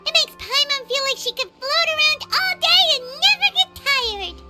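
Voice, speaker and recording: A woman voicing a tiny, excitable floating fairy companion in a video game, squeaky, childlike and chirpy. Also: moderate pace, soft background music, full character voice. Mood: cheerful, curious. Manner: chirpy, exclamatory, refers to self in third person. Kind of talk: animated video game dialogue.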